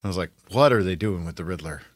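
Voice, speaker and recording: A man's voice: sits low, lively intonation, fast talker, dry recording, clean studio sound. A man co-hosting a casual pop culture chat in a jokey, sardonic manner. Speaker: podcast co-host.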